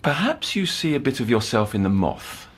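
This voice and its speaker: An actor playing a measured, soothing psychiatric counsellor who probes patiently and deliberately in an audio drama. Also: male voice, medium pitch, sing-song, slightly rough. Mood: soothing, probing.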